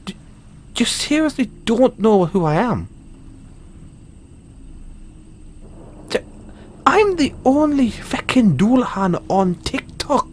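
A man's voice: extremely high pitch, big pitch swings, a little slow, a little hiss or hum, full character voice. Man playing cocky British Dullahan, wildly swooping voice. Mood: outraged, boastful. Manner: stammering, sweary, boastful. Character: cocky, foul-mouthed male Dullahan wannabe social media star. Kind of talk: comedic audio roleplay.

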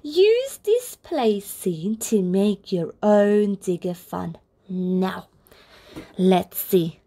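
Very slow, lilting woman reading to toddlers. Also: medium pitch. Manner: deliberate, expressive phrasing aimed at small children. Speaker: children's storybook reader, channel host. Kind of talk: read-aloud of a picture book for toddlers, with soft encouraging asides.

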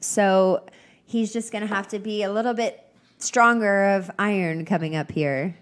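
A woman's voice: medium pitch, fairly even intonation, unhurried. A young woman hosting a solo podcast, chatty and upbeat, sounding a little nasal and congested from a cold. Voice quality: slightly nasal. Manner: chatty, upbeat, rambling with fillers. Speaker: podcast host. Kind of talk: casual solo podcast chat.

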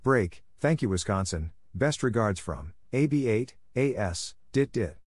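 Guy w/ slightly deep voice reading callouts for code practice, neutral and scripted, super dry sound. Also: lively intonation, a little slow, darkish tone, slightly rough, no room sound. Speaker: narrator of Morse code practice drills. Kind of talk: scripted read-out of letters, numbers and short phrases.

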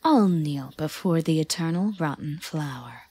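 A woman playing a regal, possessive yandere elven queen in an audio drama, breathy and often whispery, with a solemn, intimate, measured delivery. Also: low-pitched, expressive, warm tone, no echo, full character voice. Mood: proclaiming. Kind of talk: slow roleplay audio drama.

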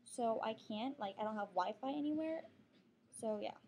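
A teenage girl from Texas with a Texan accent talks into her computer's webcam from a living room couch. She rambles in a loose, chatty way, full of fillers and quick apologies. Her voice sits fairly high but sounds soft and mellow. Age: teens. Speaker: vlogger. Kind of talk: casual webcam vlog.

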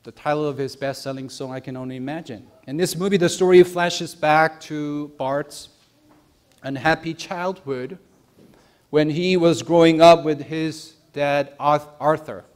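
Higher-pitched young male preacher. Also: unhurried. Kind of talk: sermon. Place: church sanctuary.

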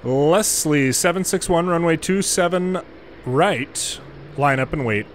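A man with a slightly high-pitched voice narrates his airport game. He gives measured controller-style instructions and drops into casual muttered asides, with some ambient noise behind him. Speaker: gamer and former air traffic controller. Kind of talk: gameplay commentary with air traffic control radio phraseology.

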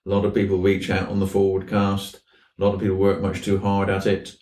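A man in his seventies from southwest England, reminiscing in an unhurried, anecdotal way with old-fashioned turns of phrase. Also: sits low, completely flat delivery, a little mellow. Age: 70s. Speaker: guest, fly fishing instructor. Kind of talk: reminiscing interview conversation. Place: indoors in a tackle shop. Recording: roomy, slightly distant mic.

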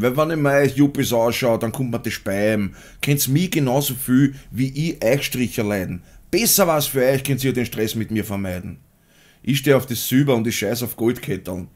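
A man in his forties with a Viennese accent, vlogging from a small home studio and then performing a spoken-word rap, blunt and crude. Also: medium pitch. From Austria. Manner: blunt, crude, laughs at his own jokes. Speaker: musician and vlogger.

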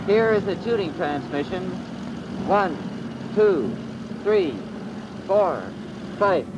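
A man's voice: high voice, lively intonation, takes it slowly. An actor playing a young male fighter pilot, making formal, clipped radio calls. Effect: radio transmission. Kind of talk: 1940s film acting. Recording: distant, noisy, dull old film radio sound.

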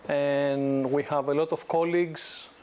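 A Greek man in his thirties speaks to a university class in a loose, conversational way, often restarting his sentences. His voice sits a little high for a man. The lapel mic keeps him close and clear in the classroom. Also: unhurried. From Greece. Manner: relaxed, conversational, frequent restarts and fillers. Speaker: guest lecturer. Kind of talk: informal business lecture.

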